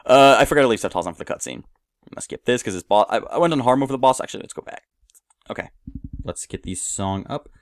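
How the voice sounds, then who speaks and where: A man's voice: mid-range voice, expressive, fast, dry recording, studio quality. A man giving casual, rambling gameplay commentary, full of enthusiastic fan asides and rephrasing as he goes. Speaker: gamer commentating a playthrough. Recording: game music playing behind voice. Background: video game rock music.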